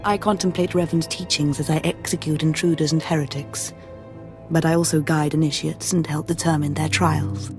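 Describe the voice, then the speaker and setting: Low-voiced, serene cult assassin. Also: female voice, fairly even intonation, normal speed, a little mellow, soft background music. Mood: serene menace. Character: serene, zealous female cult assassin and guardian. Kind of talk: scripted video game dialogue.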